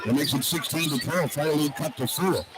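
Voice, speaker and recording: A man's voice: a little high, fairly quick. A man doing live basketball play-by-play on the radio in clipped, running description. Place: basketball arena broadcast position. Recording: very noisy arena radio broadcast. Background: loud arena ambience.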